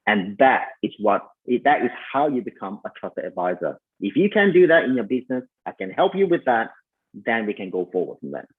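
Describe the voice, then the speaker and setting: A man with a Malaysian accent coaches listeners on selling in a loose, conversational way, sprinkling in fillers and calling them "you guys." His voice is a little husky. The audio comes through thin and narrow, like a phone line. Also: fairly low pitch, big pitch swings, brisk. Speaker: sales coach. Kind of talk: online summit talk.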